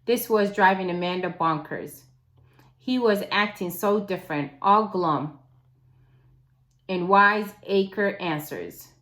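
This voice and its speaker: A woman reading a children's novel aloud as a teacher would, in an expressive, deliberate storytelling way. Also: medium pitch.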